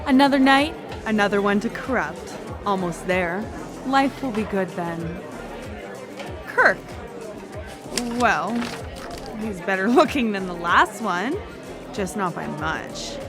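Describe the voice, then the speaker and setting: A woman's voice: medium pitch, lively intonation, slow pace, pauses often. A woman playing a sly, seductive corrupter of souls in a radio play, teasing and sardonic, with music and people behind her. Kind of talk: live radio play acting. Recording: music and crowd sounds behind.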